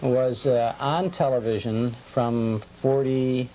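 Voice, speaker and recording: A man's voice: mid-range voice, steady tone, slow, dark tone. A middle-aged American man hosting a conversational interview, asking inquisitive follow-up questions to check details. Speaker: host. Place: television studio. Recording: noisy, deteriorated old cable TV tape.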